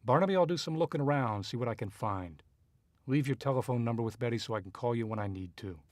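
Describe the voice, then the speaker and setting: A cool, unruffled private detective, acted by a man in an old-style noir radio play. He speaks at a middling pitch with clipped, businesslike phrasing, over a clearly noisy recording. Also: fast talker, lightly acted. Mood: cool, narrating. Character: cool, curt male private investigator, narrating his case. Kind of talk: 1940s noir radio drama acting and narration.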